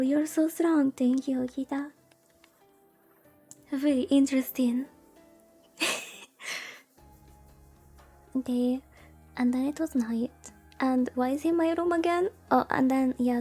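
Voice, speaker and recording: Female VTuber, high soft-toned voice, unhurried playful rambling as she plays a visual novel, game music faintly behind. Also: breathy edge. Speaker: VTuber streamer. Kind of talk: casual chat while playing a visual novel.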